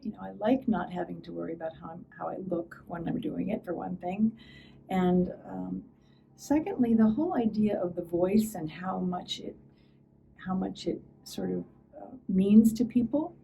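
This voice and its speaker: A woman's voice: lowish voice, normal speed, creaky, warm tone. An older woman, an actor, speaking reflectively in an interview. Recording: distant mic, faint background noise.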